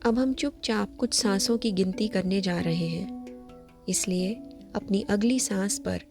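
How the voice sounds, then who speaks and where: A woman leading a scripted guided meditation, giving gentle, measured instructions. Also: medium pitch. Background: background music.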